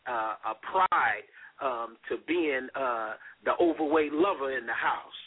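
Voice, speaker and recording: A husky man in his forties hosts the show in a preacher's rhythm, answering with amens. His voice sits at a middle pitch, and the sound is narrow and phone-like. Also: measured pace. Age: 40s. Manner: warm preacher's cadence, affirming with amens. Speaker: pastor and radio host. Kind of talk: radio talk show interview. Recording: phone-line radio audio.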